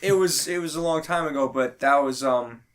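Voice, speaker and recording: A man's voice: on the high side, moderate pace, a bit bright. A man co-hosting a podcast, chatting casually about pop culture and rambling as he thinks aloud. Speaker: podcast co-host. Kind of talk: casual panel discussion about films and games.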